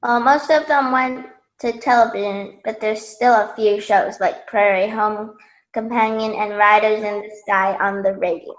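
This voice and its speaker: A woman's voice: mid-range voice, fairly even intonation, measured pace, natural voice. A woman playing a nostalgic, good-natured parent in an amateur radio play. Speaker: student radio play performer. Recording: echoey room.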